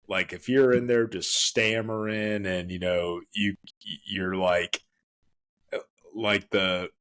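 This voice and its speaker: A man co-hosting a podcast in casual chat, emphatic and stumbling over restarts as he rants. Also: a bit bright. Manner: emphatic, full of restarts and stammers; swings up high when worked up. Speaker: podcast co-host.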